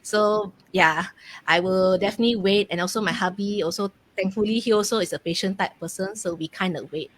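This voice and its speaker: A woman with a Malaysian accent casually hosting a live online game, chatty and halting as she goes. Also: medium pitch. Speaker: host of a live question game, online mentor.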